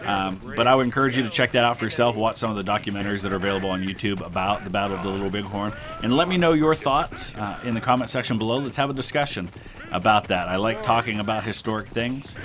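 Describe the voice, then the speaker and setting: Stuffed-up man with a low voice. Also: quick pace, a crowd in the background. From Ohio, United States. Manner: relaxed, rambling, many "uh" and "um". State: stuffed-up nose from a sinus infection. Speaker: gaming channel host. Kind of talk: casual history commentary over gameplay.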